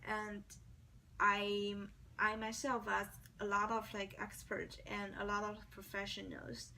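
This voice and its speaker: A woman's voice: medium pitch, level tone, takes it slowly, vocal fry, sharp tone. A young woman from China with a Chinese accent, a non-native English speaker answering mock job interview questions with many restarts and fillers. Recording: distant mic, faint background noise.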